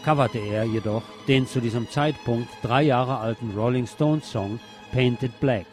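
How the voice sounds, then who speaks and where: A man's voice: mid-range voice, unhurried, darkish tone. A man presenting a scripted music-history narration in literate, formal wording with a dryly ironic manner. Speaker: host.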